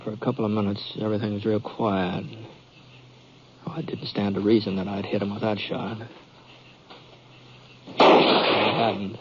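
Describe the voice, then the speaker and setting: A man's voice: on the low side, flat delivery, a little slow, long pauses, a little hiss or hum, lightly acted. An actor playing an easygoing, folksy cowboy hero who tells his tale with a hesitant drawl in a 1950s radio western. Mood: tense, hushed. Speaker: lead actor and narrator. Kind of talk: 1950s radio western acting, first-person storytelling. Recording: dull 1950s radio recording, music behind.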